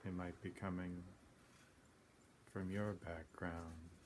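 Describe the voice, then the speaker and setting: Male meditation guide, deep-pitched and very slow, plain-spoken, pausing often between phrases. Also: little pitch movement. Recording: clearly noisy background ambience.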